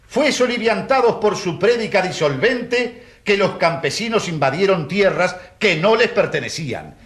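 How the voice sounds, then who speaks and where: An actor playing an indignant, self-assured prosecuting lawyer in a radio courtroom drama, declamatory and formal. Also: male voice, fairly high pitch, a bit bright, full character voice. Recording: roomy echo.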